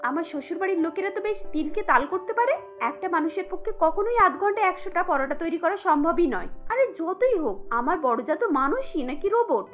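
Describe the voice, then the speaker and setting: A woman's voice: very high voice, animated, a little fast, soft background music, voice pushed up. A woman voicing a young, overworked new daughter-in-law in a cartoon story, grumbling and incredulous. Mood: irritated, incredulous.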